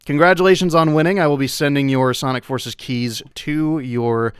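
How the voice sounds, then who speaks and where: Smooth-voiced man hosting a stream. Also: on the high side, normal speed, dry recording, clean studio sound. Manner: relaxed, chatty, with frequent fillers. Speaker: streamer hosting a mod playthrough. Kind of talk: casual live-stream chat.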